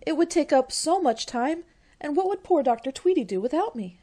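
A woman's voice: high voice, expressive, normal speed, warm tone. A woman playing an eager, naive young college secretary, gushing in an old-time style radio comedy.

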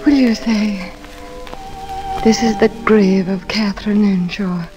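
An actress in an old radio drama playing a dying, feverish young wife, tender and yearning, speaking faintly and often near a whisper. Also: female voice, mid-range voice, fairly even intonation, music in the background, lightly acted, rough recording. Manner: slow, faint, melodramatic delivery. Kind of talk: 1940s radio drama acting.

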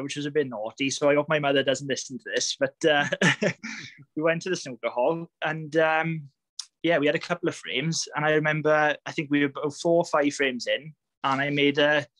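A Welsh man in his thirties tells his story in easy, chatty talk, with frequent laughs and plenty of ums. His voice sits a little high for a man. The sound is close and dry, as over a video call. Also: a bit bright, no echo. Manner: chatty, laughs often, many fillers. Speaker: podcast guest, greenkeeper. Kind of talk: casual storytelling chat.

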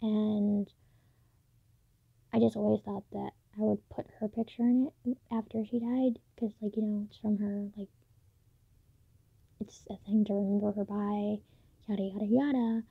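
A teenage woman talking softly late at night in her bedroom, halting with many fillers, recorded on a built-in mic. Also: mid-range voice, level tone, creaky, slightly rough, no room sound. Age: late teens. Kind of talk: late-night personal vlog. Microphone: phone or camera built-in mic, close. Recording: dull lo-fi built-in mic, dry.

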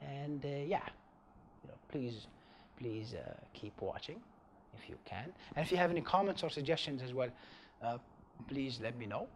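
A man in his thirties from Bahrain with an Arabic accent, a native Arabic speaker, explaining science to camera in an emphatic, animated way. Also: medium pitch, moderate pace, pauses often, slight background noise. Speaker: science video host.

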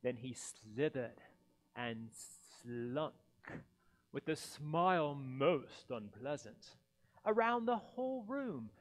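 Male storybook reader, forties. Slightly high voice, very unhurried, dramatic pauses, swooping expressive pitch. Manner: dramatic, deliberate pauses between phrases. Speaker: pastor reading a children's story. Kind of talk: theatrical children's storybook reading. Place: indoors in a church by a Christmas tree. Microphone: headset mic.

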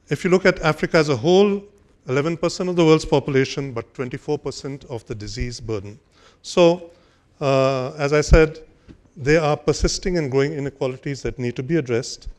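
An older man giving a formal speech at a podium on a conference hall stage, measured and hesitant, with frequent fillers and restarts. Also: a little high, a little mellow. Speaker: conference speaker. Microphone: podium microphone.

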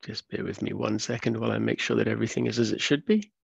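A man from Wales in his thirties giving a relaxed science talk on a video call, with many fillers. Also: fairly low pitch, fast talker, vocal fry, slightly rough, dry recording. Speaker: research fellow giving a public talk. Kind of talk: academic webinar lecture. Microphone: computer mic on video call. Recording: dull, dry webinar audio.

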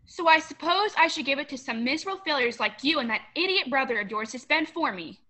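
A woman's voice: high voice, expressive, quick pace, sharp tone. A young woman playing a mean, rich, scornful businesswoman in a radio play. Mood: contemptuous outrage. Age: young adult. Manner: imperious, scornful.

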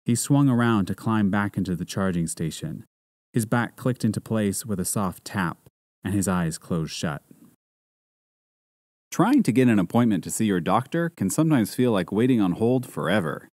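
A man's voice: fairly low pitch, animated, normal speed, a little mellow, no echo. A man delivering scripted narration as a voice-over artist, close on the mic.

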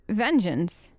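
Girl doing a role play lets play, kinda wry n unsure, dry mic, slow talker. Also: medium pitch, dry recording. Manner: hesitant, wry. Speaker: let's play host. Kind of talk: role-play gaming commentary, casual.

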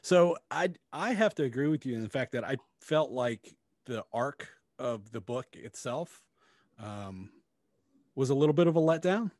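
A man's voice: medium pitch, expressive, normal speed, a little mellow, no room sound. Dry, close-miked middle-aged man chatting. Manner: blunt, sweary. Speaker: podcast co-host. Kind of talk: casual book review chat. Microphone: close podcast microphone with headphones.

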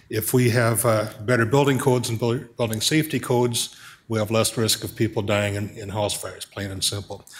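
A middle-aged man speaking as a city council member, earnest and thinking aloud with many pauses and fillers, at a desk microphone in a council chamber. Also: mid-range voice. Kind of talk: council meeting remarks.

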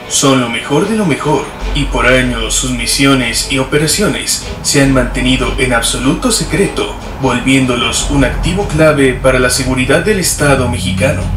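Grave male documentary narrator. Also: mid-range voice. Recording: roomy, distant, played back with music.

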